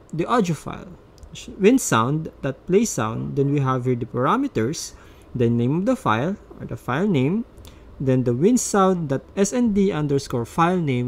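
A man's voice: mid-range voice, gravelly. A man presenting a step-by-step instructional screencast as a tutorial narrator.

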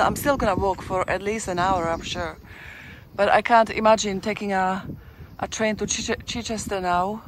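A woman's voice: mid-range voice, a little hiss or hum, rough recording. A woman chatting casually to her viewers while walking through a town, rambling with restarts, recorded on a handheld camera outdoors. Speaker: travel vlogger. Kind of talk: casual walking-tour vlog commentary.

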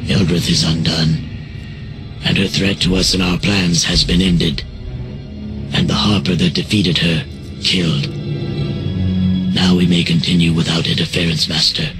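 A man's voice: sits low, level tone, a little slow, pauses often. A man voicing a sinister, obedient lizard man in a video game, speaking formally and with menace. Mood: satisfied, sinister. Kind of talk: video game villain cutscene acting. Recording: loud music behind.

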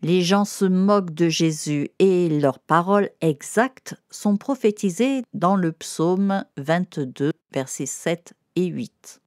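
A woman with a fairly low voice narrates a children's lesson at a slow pace. She explains in simple, didactic terms, speaking directly to a young listener. The sound is dry and close. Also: lively intonation, a little mellow, no room sound.

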